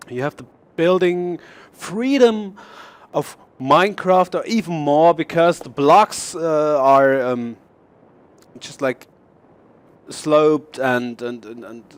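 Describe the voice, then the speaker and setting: A young German man with a German accent, speaking English as a second language, casually showing off his build in a game. He talks haltingly, with many fillers and repeated words. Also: on the high side, clean tone. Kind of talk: casual game showcase commentary.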